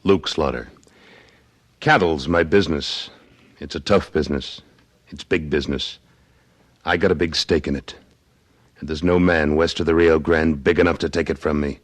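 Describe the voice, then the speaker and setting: A man's voice: rumbling low, steady tone, normal speed, pauses often. An actor playing a tough, terse Civil War veteran cattleman in an American radio western. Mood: defiant, proud. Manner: terse, blunt. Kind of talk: 1950s radio western acting. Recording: noisy old radio broadcast.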